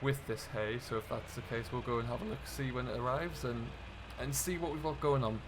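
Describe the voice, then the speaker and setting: A man's voice: mid-range voice, a little fast. A British man hosting a farming game role-play in casual commentary, chatting and thinking aloud as he works. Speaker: gaming video host playing a farm contractor. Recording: clear background vehicle and ambient noise.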